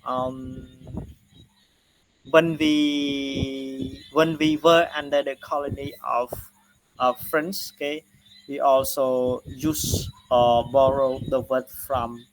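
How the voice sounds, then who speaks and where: A man with a Khmer accent giving an online lecture, speaking haltingly with many "uh" and "okay" fillers. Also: mid-range voice, little pitch movement, rough recording. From Cambodia.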